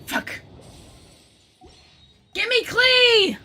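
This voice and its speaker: A woman livestreaming a video game, chatting casually to viewers in an excitable, rambling manner. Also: very high-pitched, very slow. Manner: excitable, rambling, swears freely. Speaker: game streamer. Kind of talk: casual live gaming commentary, chatting to viewers.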